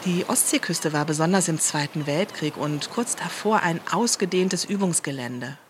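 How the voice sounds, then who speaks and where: A woman's voice: sits low, normal speed, natural voice. Radio play: sober female reporter, played by an actress, factual broadcast delivery, noisy ambience. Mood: matter-of-fact. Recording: clearly noisy background ambience.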